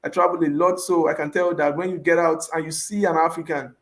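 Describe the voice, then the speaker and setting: A man speaking as a youth leader on an online panel over a video call, earnest and enthusiastic with frequent pauses. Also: a little high, steady tone.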